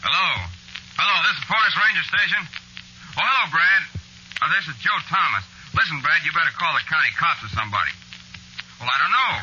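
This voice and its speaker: An actor playing a plain-spoken, flustered country man with a folksy, excitable manner in an old-time radio play. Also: on the high side, a little fast. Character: plain-spoken, flustered rural man reporting a strange death. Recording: dull, faintly noisy vintage radio.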